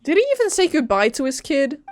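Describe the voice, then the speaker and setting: A woman's voice: high voice, big pitch swings, fairly quick, a little mellow, no echo, clean studio sound. A woman doing casual live reaction commentary as a game streamer, blunt, exclamatory and sarcastic. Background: game music.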